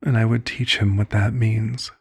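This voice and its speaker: A man acts out a compassionate vampire physician in an intimate ASMR roleplay. The voice sits low, with a gravelly creak and a husky edge. The delivery is formal, measured and hushed, like a weary father figure gently persuading. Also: little pitch movement. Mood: grave, tender.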